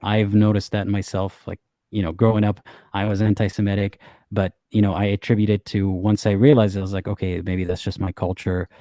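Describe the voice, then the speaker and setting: A man hosting a call-in show, speaking calmly with brief interjections. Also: on the low side, fairly quick, no room sound, studio quality. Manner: calm, brief interjections and affirmations. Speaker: host. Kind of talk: casual call-in conversation.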